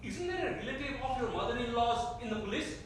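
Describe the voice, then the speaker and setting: A man's voice: very high-pitched, animated, quick pace. A man playing a brusque, self-serving father in a staged reading, commanding and impatient. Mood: urgent, scheming. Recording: echoey theater, distant mic, faint noise.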